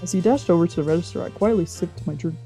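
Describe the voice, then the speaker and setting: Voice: mid-range voice, quick pace, warm tone, low-quality recording. Streamer narrating a visual novel over music. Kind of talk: dramatic narration with character voices. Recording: game music behind the voice.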